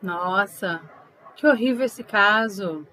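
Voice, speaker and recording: A woman's voice: mid-range voice, slow pace, sharp tone, low-quality recording. A woman playing a teasing, inquisitive, unsentimental girlfriend in an audio drama, probing and playful. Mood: concerned, probing.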